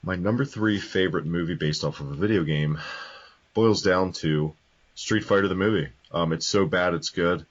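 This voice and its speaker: Deep husky podcast co-host. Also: male voice, moderate pace. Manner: laid-back, opinionated, swears casually. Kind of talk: casual podcast chat. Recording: dull lo-fi audio.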